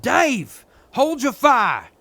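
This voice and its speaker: A man's voice: very high voice, wildly swooping pitch, extremely slow, pushed and bright, dry recording, heavily acted. A man playing a shrewd, controlled wasteland negotiator in an audio drama. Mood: commanding. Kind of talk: post-apocalyptic audio drama acting.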